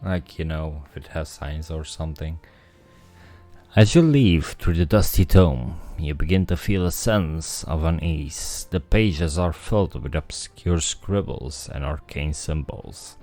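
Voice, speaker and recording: A man's voice: extremely low pitch, animated, unhurried, mellow. A man streaming a game, thinking aloud and reading the story text himself. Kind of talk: casual game commentary with read-aloud narration. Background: faint music.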